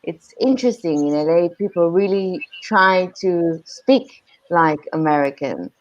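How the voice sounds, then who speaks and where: Armenian-accented woman, low and lo-fi. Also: measured pace. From Iran. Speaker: podcast co-host. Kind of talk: casual chat on a video call.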